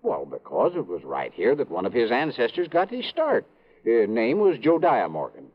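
A folksy small-town police chief, trusting and eager, in an old radio play. His pitch swings up and down freely as he rambles and hesitates, and the vintage recording is muffled and narrow. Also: wildly swooping pitch, moderate pace. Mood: eager, admiring. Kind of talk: 1950s radio drama acting.

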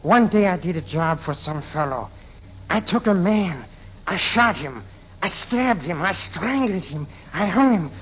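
A sinister little killer in a high, whining voice, wounded and creepy as he pleads his sensitivity. The vintage radio sound is tinny and narrow, with faint noise. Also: lively intonation, a little slow. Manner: whining, sinister, softly menacing. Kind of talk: 1940s radio impression of a film villain.

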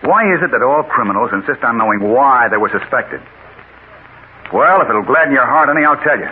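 A man's voice: medium pitch, lively intonation, brisk, lightly acted. A man acts out an unflappable newspaper crime photographer from a forties radio thriller. He talks in a wry, wisecracking way and stays cool when threatened. The sound is thin and boxy, with light old-broadcast hiss. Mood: wry, composed. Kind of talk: 1940s radio drama acting. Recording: scratchy, tinny 1940s radio broadcast.

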